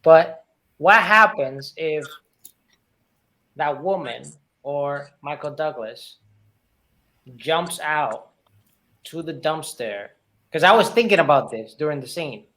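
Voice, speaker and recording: A man with a slightly high voice speaks as a film review panelist. He is casual and colloquial, posing questions to the group as he talks. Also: animated, slow pace, pauses often, bright, forceful tone.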